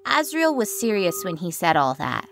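A woman's voice: lowish voice, very animated, normal speed, creaky, fairly bright tone, a little hiss or hum, exaggerated voice. A woman playing a plain, matter-of-fact second-person narrator in an amateur comic dub. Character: matter-of-fact narrator speaking for a silent child.

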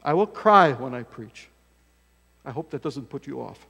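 A man's voice: mid-range voice, lively intonation, normal speed, creaky, a bit bright, slightly rough. Older male pastor with church reverb. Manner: folksy, self-deprecating, emphatic. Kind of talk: sermon. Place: church sanctuary at a pulpit. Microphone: fixed camera from across the church.

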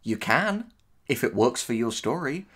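Male co-host, mid-pitched, casual and opinionated, with emphatic phrasing. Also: big pitch swings, measured pace, fairly bright tone. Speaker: writer co-hosting a reaction discussion.

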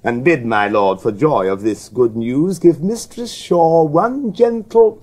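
Husky, sardonic scheming duke. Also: male voice, on the high side, slow, darkish tone. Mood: sardonic relish. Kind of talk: Shakespearean radio drama acting.

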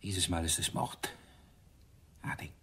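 An actor playing a gruff, sarcastic, impatient police inspector in a German radio crime drama. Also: male voice, deep voice, slow pace, fairly bright tone, full character voice. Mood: sardonic, menacing. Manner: sardonic, gruff.

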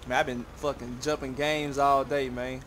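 A man's voice: mid-range voice, little pitch movement, moderate pace, a bit bright. A young man chatting casually in heavy slang and swearing while hosting a gaming session. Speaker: gaming video host. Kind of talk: casual gameplay chat with slang. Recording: clear background noise, game car sounds.

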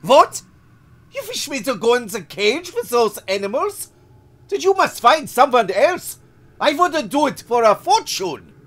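A man voicing a frightened young male animal keeper who bursts out in high, strained anger. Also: big pitch swings, drawn-out words, sharp tone, exaggerated voice. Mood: furious.

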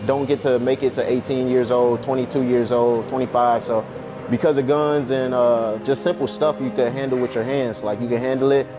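A man's voice: mid-range voice, fairly even intonation, moderate pace, music in the background. A man speaking earnestly and steadily in a documentary interview.

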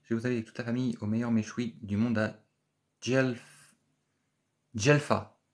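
A man, hosting a casual live stream from a small office and answering viewer comments, chatty and repetitive with many filler pauses. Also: medium pitch, steady tone. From France. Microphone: phone camera at arm's length.

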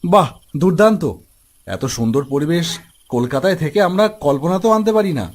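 A man's voice: fairly high pitch, lively intonation, moderate pace, a little mellow. A man voicing an eager young city visitor in a cartoon ghost story, with music behind. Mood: enthusiastic.

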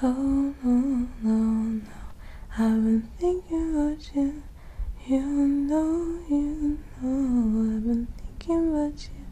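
Woman singing softly, very mellow and high. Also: fairly even intonation. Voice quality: soft, breathy, airy. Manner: gentle, drawn-out, often near a whisper. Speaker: ASMR performer. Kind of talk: soft, sleepy ASMR singing.

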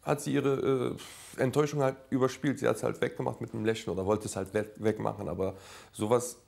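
A man's voice: medium pitch, level tone, fast talker, vocal fry, darkish tone. A man in his thirties talking informally and directly, as a TV dealer who haggles and comments. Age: 30s. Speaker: TV junk-dealing expert and presenter. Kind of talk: casual haggling and commentary to camera. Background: faint background music.

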